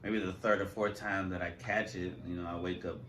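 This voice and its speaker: A man co-hosting a casual podcast, telling rough stories in a relaxed, swearing way. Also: low voice, a little fast. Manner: laid-back, swearing storyteller. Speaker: podcast co-host. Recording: echoey room, mic at a distance.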